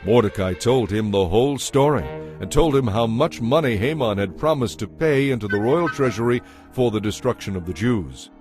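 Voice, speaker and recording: A man narrating scripture as a scripted, dramatized story, with a solemn storytelling delivery. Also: lowish voice. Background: music.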